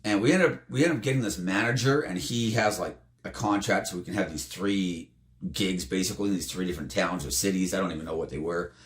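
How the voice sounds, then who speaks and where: A slightly hoarse man telling a tabletop game story in a casual, animated way. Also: fast. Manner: animated, jumps up in pitch for emphasis. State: slightly hoarse, losing his voice. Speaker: tabletop game player recounting a campaign. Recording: roomy, echoey room.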